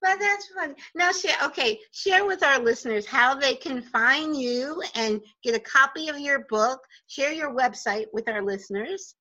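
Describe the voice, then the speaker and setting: A woman's voice: high-pitched, animated, moderate pace, few pauses, bright, forceful tone, lo-fi sound. A woman with a New Jersey accent hosting an interview from her home office, talking in a chatty, rambling way and laughing easily. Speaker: radio show host. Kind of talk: casual interview chat.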